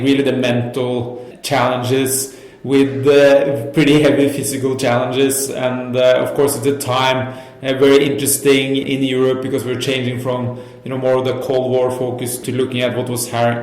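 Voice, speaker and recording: In a roomy, echoey indoor space, a man from Norway tells his story as an interview guest. His pitch is average for a man, and his phrasing is conversational and enthusiastic, with plenty of you-knows. He speaks as a native speaker of Norwegian. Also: completely flat delivery, normal speed, a little mellow. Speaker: interview guest, startup founder. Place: indoor co-working office.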